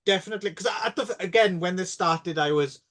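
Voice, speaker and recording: High-voiced man joking sarcastically. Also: a little fast, a bit bright. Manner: jokey and sarcastic, with many fillers. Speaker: podcast co-host. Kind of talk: casual film podcast chat.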